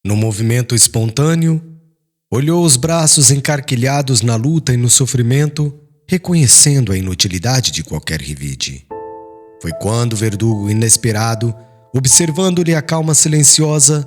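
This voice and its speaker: A man reading an audiobook as dramatic literary narration, in a solemn, formal and expressive manner. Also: medium pitch, moderate pace. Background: background music.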